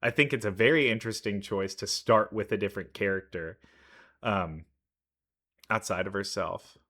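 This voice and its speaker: A man's voice: fairly low pitch, normal speed, fairly bright tone. A man giving casual game reaction commentary, musing and thinking aloud as he goes. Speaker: reaction video host.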